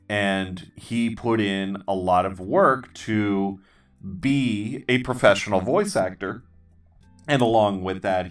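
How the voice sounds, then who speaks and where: A man hosting his own channel, talking in a halting, casual way with lots of ums and restarts and a dry, self-deprecating humor. People tell him his voice suits voiceover work. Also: low-pitched, a bit bright, distant mic. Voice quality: voice others call good for voiceover. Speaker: channel host. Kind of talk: casual rambling chat while building.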